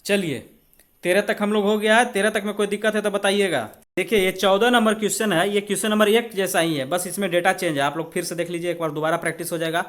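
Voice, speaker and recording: A man with an Indian accent and a notably high voice teaches like an exam coach. He talks through each step conversationally. He keeps checking in with short rhetorical questions. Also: steady tone, fast talker, fairly bright tone. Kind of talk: spoken maths and chemistry tutorial.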